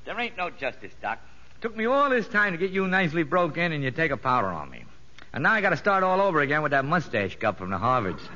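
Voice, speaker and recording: An actor playing a streetwise convict, cocky but soft-hearted, needling in ungrammatical slang, in a 1950s radio drama. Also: male voice, a little high, very animated, fast talker. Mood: brash affection. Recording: dull, noisy vintage radio recording.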